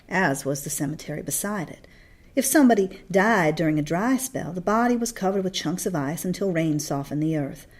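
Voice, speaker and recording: A woman narrating a novel as a read-along, in an expressive storytelling style. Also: fairly low pitch.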